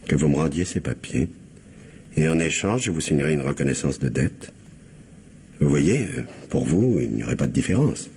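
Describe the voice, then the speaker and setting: An actor playing a scheming, indebted blackmailer with falsely courteous, insinuating manners in a French radio mystery. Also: male voice, a bass voice, lively intonation, moderate pace, warm tone. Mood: bargaining. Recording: faint background noise.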